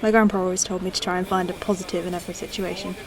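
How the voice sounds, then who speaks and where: A woman playing a courteous, curious old-model android in a sci-fi audio drama, speaking in a measured, polite way. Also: fairly low pitch, little pitch movement, crowd noise, natural voice. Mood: reflective, fond.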